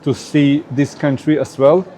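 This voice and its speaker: A man's voice: on the high side, steady tone, unhurried, mellow, slight background noise. A man from Croatia speaking English with a Croatian accent as an interview guest, hesitant with many fillers. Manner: hesitant, many uh fillers, non-native phrasing. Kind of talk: casual interview answers.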